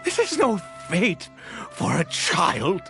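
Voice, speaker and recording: Cursed immortal father, video game drama, slow and theatrical, widely swinging pitch, weary, desperate, music underneath. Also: wildly swooping pitch, vocal fry, sharp tone, heavily acted. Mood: anguished, pleading. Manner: theatrical, hesitant, swinging from murmurs to anguished outbursts.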